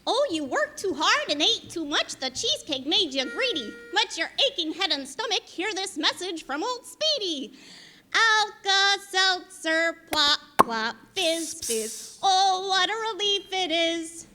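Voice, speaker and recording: Squeaky, perky ad mascot. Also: female voice, sing-song, unhurried, bright, forceful tone, soft background music, full character voice. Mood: chirpy, selling. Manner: chirpy, singsong pitching. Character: perky, squeaky cartoonish antacid jingle mascot. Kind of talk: vintage radio commercial, partly sung.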